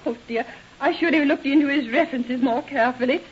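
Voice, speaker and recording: An actress playing a fussy, imperious middle-aged society matron in a haughty radio-drama style. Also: female voice, high-pitched, brisk, natural voice. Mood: flustered. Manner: haughty, commanding, snobbish. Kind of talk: 1930s radio drama acting. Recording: muffled old radio recording.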